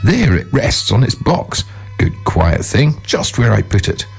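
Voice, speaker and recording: A man's voice: deep voice, moderate pace, a little mellow, breathy edge, faint music behind. A man voicing a pompous, fussy holy man in a storybook, with an old-fashioned, blustering manner. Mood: dismissive. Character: pompous old male priest, fussy, easily frightened.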